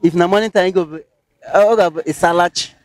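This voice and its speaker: A man's voice: high voice, a little fast. Hesitant Nigerian man with a pidgin accent. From Rivers State, Nigeria. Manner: hesitant, many fillers and restarts. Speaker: interviewee. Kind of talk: casual street interview.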